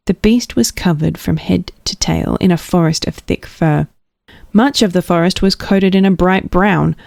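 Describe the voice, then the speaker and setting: A woman's voice: on the low side, vocal fry, warm tone, no room sound, studio quality. A woman reading a children's storybook aloud with playful expression, dropping into whispers at tense moments. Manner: playful, drops to whispers for suspense. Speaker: storybook reader.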